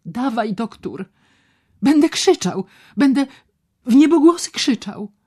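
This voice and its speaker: A woman voicing a gravely ill young man who speaks in a quick, hushed whisper and makes demands. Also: on the high side, expressive, pitched above the performer's own voice, heavily acted. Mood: feverish.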